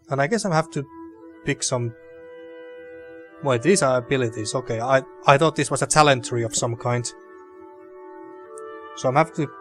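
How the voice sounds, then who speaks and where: A man with a dry throat casually commenting on a game as he plays, thinking aloud. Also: medium pitch. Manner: relaxed, thinking aloud, mildly sweary. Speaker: gaming video host.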